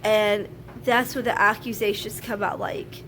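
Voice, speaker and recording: A woman's voice: mid-range voice, steady tone, measured pace, fairly bright tone, slight background noise. A woman who sounds nasal from a sinus infection, talking frankly and chattily to camera about her views at home. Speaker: vlogger. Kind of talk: casual talk to camera. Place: at home, laundry running.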